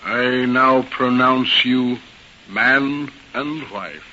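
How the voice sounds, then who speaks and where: A solemn preacher in an old radio play. He speaks very slowly and ceremonially in a bright, firm voice. The recording is dull with faint noise. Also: medium pitch, exaggerated voice. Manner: ceremonial, measured. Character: solemn, formal preacher performing a wedding. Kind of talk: old-time radio drama acting.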